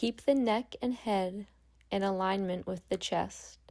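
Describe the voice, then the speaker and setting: A woman guiding a meditation as a yoga nidra instructor, speaking calmly and gently with long pauses. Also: mid-range voice. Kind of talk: guided meditation.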